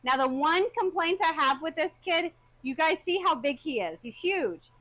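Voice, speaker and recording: A woman's voice: high voice, lively intonation, normal speed, fairly bright tone, dry recording. A mother of four, co-hosting a livestream, chatting and teasing while she reads viewer comments aloud. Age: adult mother of four. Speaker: co-host reading viewer comments. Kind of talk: casual livestream chat.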